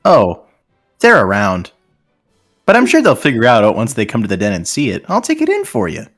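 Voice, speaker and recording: A man voicing a breezy, girly young lioness in a casual, drawn-out way for a comic fan fiction reading. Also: mid-range voice, wildly swooping pitch, a bit bright, faint music behind, full character voice. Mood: breezy, evasive.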